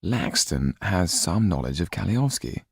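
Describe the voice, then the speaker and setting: Male reader as courteous audiobook gentleman, thoughtful friend; husky bass with some gravel, measured phrasing. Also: big pitch swings, dry recording, clean studio sound, full character voice. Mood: confiding, helpful. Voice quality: very deep, husky. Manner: genteel, measured, confiding. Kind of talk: audiobook character acting.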